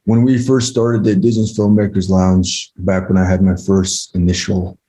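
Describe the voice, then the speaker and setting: A man from Utah speaking informally as a guest at an event, in broken phrases with pauses. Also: sits low, flat delivery, dark tone, slightly rough. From Navajo Nation, Utah, United States. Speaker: co-founder giving thanks as guest speaker. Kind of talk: informal, heartfelt remarks.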